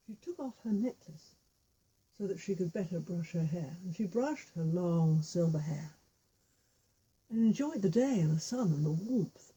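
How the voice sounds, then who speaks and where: A woman's voice: on the low side, expressive, measured pace, dark tone, husky, poor audio. A woman telling a folk tale informally, with repetition and little spoken asides. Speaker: storyteller with puppet figures.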